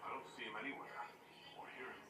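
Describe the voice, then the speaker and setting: An actor playing a calm, supportive fantasy leader in a video game, with a steady and sincere delivery. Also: male voice, medium pitch, fast talker, in a whisper, loud music behind the voice, close to an everyday voice. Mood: serious. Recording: roomy, distant, very noisy game capture.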